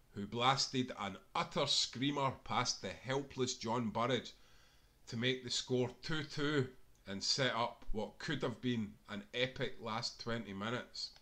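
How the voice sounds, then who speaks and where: A man narrates a football book in a bright, forceful voice of average pitch. He reads from a script like a storyteller, leaning on key phrases with dramatic emphasis. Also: unhurried. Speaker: author-reader of a football book.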